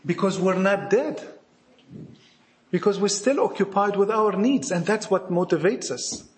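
A man with an Egyptian accent delivers a sermon in a very high voice. He is emphatic and repeats his points, firing rhetorical questions at the congregation. The sound bounces around an echoey hall. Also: a little slow. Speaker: preacher. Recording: echoey room.

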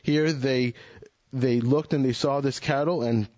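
A man's voice: medium pitch, steady tone, a little slow, darkish tone, dry recording. A man offers an earnest, reflective comment to a Bible study group and keeps restarting his sentences as he thinks. The recording is dry and slightly dull, with little room sound and a muffled, lo-fi tone.

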